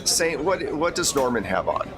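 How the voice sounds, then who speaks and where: A man's voice: on the high side, sing-song, normal speed, a crowd in the background. Man, game master, informal conversational narration, tabletop session, background voices. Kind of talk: casual improvised narration of a tabletop role-playing game.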